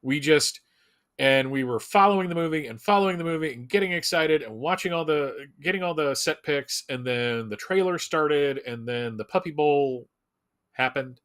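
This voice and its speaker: A man's voice: fairly high pitch, normal speed, a bit bright. Man in casual, emphatic fan talk. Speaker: Superman fan commentator on a fan live show.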